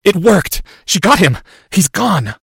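Man voicing a shrill, panicked teenage wizard boy. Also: sing-song, fast, a bit bright, dry recording, studio quality. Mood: breathless, insistent. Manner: urgent, strained, wavering outcries. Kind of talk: dramatic audiobook character voice.

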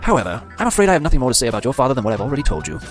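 Guy voicing a grim tired potions master, formal and clipped, rattles it off fast with lots of lift and fall. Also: mid-range voice, big pitch swings, faint music behind, voice pushed up, close to an everyday voice. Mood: grave. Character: stern weary middle-aged male potions master. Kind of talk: audiobook character voice.

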